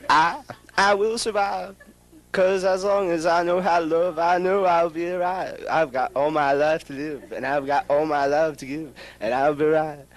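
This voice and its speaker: A man's voice: a little high, fairly even intonation, a little slow. A teenage boy joking around and singing bits of songs for a yearbook camera. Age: teens. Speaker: student. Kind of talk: casual yearbook video interview. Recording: old camcorder tape with music.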